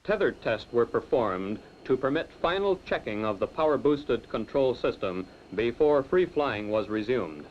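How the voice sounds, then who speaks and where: A man gives measured, formal narration for a technical film, his voice sitting at an ordinary middle pitch. The sound is dull and lo-fi, like an old film soundtrack. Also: expressive, a little hiss or hum. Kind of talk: scripted documentary narration.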